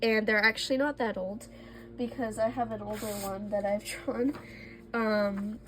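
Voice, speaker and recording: A woman's voice: mid-range voice, fairly even intonation, a little slow, background noise. A young woman, a little congested from allergies, chatting casually and self-deprecatingly as she shows her old drawings. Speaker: art vlogger. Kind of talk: casual sketchbook tour.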